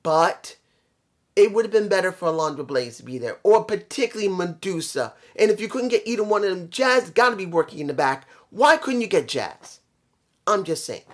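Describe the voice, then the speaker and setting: Slightly hoarse, emphatic wrestling vlogger. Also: medium pitch, animated, moderate pace. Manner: conversational, emphatic, repeats points for stress. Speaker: vlogger reviewing a wrestling show. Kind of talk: casual opinionated wrestling review.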